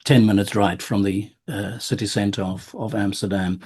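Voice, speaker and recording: A man's voice: on the low side, fairly even intonation, moderate pace, darkish tone, husky. A man with a South African accent hosting a podcast, telling a story and thinking aloud as he builds up to a question. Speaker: podcast host. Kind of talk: conversational interview. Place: lives abroad, recording remotely.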